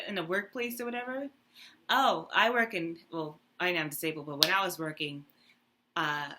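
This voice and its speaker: A young adult woman in casual chat with a friend, telling stories in an animated way and acting out what others said. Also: deep voice, slight background noise. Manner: animated storytelling, quick laughs, acts out quotes. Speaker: co-host.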